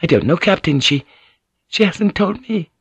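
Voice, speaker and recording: A man's voice: sits high, sing-song, normal speed, gravelly, slightly rough, no room sound, pitched above the performer's own voice, full character voice. A man voicing a polite, dutiful young maidservant with a deferential, formal manner, in an audiobook.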